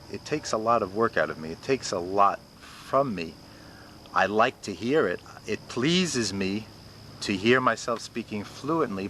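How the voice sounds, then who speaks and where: Low-voiced stuttering man in his fifties. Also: sharp tone, slight background noise. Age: 50s. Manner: stutters, with repeated words and blocks. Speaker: interviewee. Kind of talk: personal interview.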